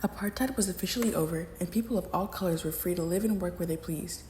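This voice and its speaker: A woman's voice: fairly low pitch, fast, very noisy. A young adult woman reading a scripted educational narration as the narrator, recorded in an echoey room.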